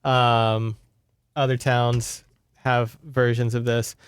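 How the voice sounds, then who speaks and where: A man's voice: mid-range voice, level tone, slow pace, clean tone, no room sound. A man with an American accent chatting casually and wryly as he recaps a book as a podcast co-host. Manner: wry, informal, sarcastic.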